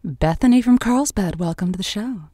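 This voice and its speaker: A woman's voice: mid-range voice, lively intonation, moderate pace, dark tone, no echo, natural voice. A woman playing a playful, caring late-night radio advice host in an audio drama, with an intimate, teasing, encouraging manner. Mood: attentive, curious.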